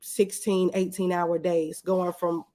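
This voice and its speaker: A woman's voice: fairly low pitch, level tone, takes it slowly, dark tone. A woman giving casual, plain-spoken, conversational answers as a guest in an interview.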